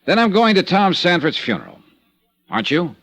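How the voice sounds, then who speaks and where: An actor playing a wry, hardboiled insurance investigator who narrates a 1950s radio drama. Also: male voice, sits high, fairly quick, exaggerated voice. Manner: wry, hardboiled, lively delivery. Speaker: narrator and lead.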